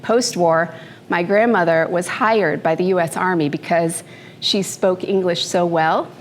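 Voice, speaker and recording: Low-voiced woman giving a relaxed talk. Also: slight background noise. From Philippines. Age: 50s. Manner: unhurried, conversational, with fillers and asides. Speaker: speaker at a morning talk. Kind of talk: personal talk to a live audience. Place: event room before an audience. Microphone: handheld microphone.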